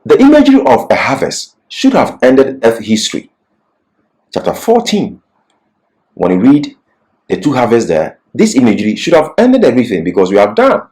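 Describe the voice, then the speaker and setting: A man's voice: medium pitch, huge pitch swings, normal speed, a little mellow. A man giving an expository Bible lecture as a teacher, didactic and emphatic, posing questions and answering them himself.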